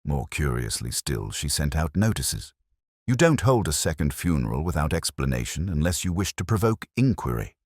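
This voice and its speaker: A brisk Victorian detective as a male audiobook reader plays him, coolly analytical and precise. The voice is very low and moves expressively, recorded dry. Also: big pitch swings, no echo, full character voice. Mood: intrigued.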